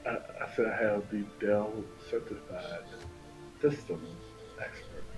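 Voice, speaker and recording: A man's voice: fairly low pitch, unhurried, rough recording. Man on voice chat over game music. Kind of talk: casual chat on a voice call. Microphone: online voice chat. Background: video game music.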